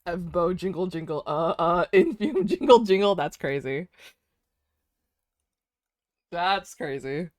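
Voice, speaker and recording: A woman's voice: fairly low pitch, slow, long pauses, no room sound, clean studio sound. A woman in her twenties chatting casually while drawing on a stream, rambling, laughing often and saying "dude" and "like". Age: 20s.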